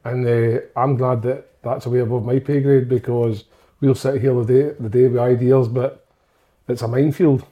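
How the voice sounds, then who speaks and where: A man's voice: medium pitch, little pitch movement, moderate pace, lo-fi sound. A man co-hosting a football chat show, rambling and joking crudely, laughing easily. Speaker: podcast co-host, goalkeeper. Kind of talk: casual banter.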